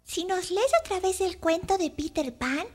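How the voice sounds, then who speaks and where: A woman's voice: extremely high pitch, normal speed, pitched above the performer's own voice. A woman voicing a sweet little child who pleads in a childlike way in a children's storybook. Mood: pleading.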